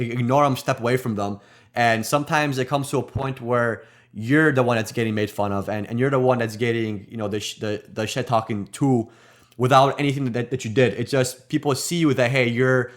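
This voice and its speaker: A man co-hosting a nursing podcast, talking in earnest casual chat and often repeating words as he restarts. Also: medium pitch. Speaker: nurse podcast co-host.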